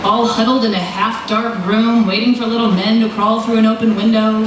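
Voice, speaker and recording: A woman's voice: fairly high pitch, level tone, moderate pace, close to an everyday voice. A woman playing a skeptical, sarcastic newspaper reporter in old-time radio drama style. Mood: sardonic, bored. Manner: dry, sarcastic. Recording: very echoey hall, distant mic, noisy.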